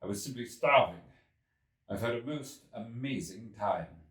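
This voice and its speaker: A man voicing a worn-out, earnest and insistent Victorian gentleman inventor in an audiobook, speaking emphatically. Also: medium pitch, lively intonation, measured pace. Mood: apologetic. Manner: emphatic, weary, declarative. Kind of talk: audiobook character voice. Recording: roomy echo, distant from mic.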